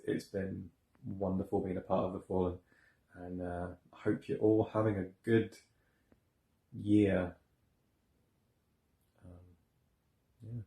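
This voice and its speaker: A man, a new voice actor, giving a casual, earnest, low-key thank-you message in an echoey room. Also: dark tone. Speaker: amateur voice actor. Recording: roomy echo.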